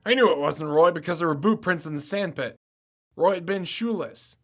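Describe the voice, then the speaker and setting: A confident young campus sleuth acted by a man in an audio drama. His voice sits a little high, and he explains things matter-of-factly, slipping in wry, punning asides. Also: moderate pace, slight background noise, lightly acted.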